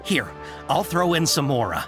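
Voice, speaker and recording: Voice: medium pitch, very animated, measured pace. An actor playing an earnest, generous, effusive merchant in a video game. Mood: grateful. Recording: game music behind the voice.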